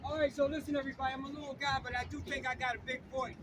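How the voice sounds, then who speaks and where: Older man, high voice, impassioned rally speech, repeats lines for emphasis. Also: flat delivery, racing. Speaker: rally speaker and campaign co-director. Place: outdoors on a city street. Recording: distant mic with loud street traffic.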